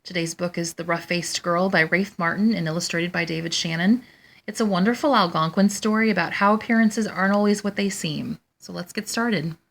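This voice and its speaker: Low-pitched woman reading a storybook. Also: a little fast, vocal fry. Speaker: storytime host and reader. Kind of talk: reading a children's picture book aloud.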